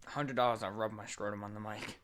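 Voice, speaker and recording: Laid-back, lowish-voiced man bantering. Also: fairly even intonation, a little fast, a bit bright, smooth. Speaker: podcast co-host. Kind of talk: casual banter.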